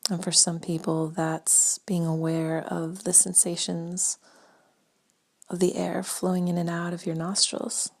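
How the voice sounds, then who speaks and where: A woman leading a guided meditation in a gentle, spaced-out way, her soft breathy voice often trailing toward a whisper. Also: lowish voice, monotone. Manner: slow, gentle, spaced-out phrasing with long pauses.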